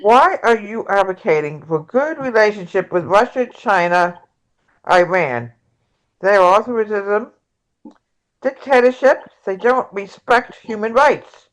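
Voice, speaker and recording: A woman playing a progressive, combative presidential candidate in an amateur table read, reading haltingly with stumbles. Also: mid-range voice, animated, close to an everyday voice, rough recording. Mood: accusing.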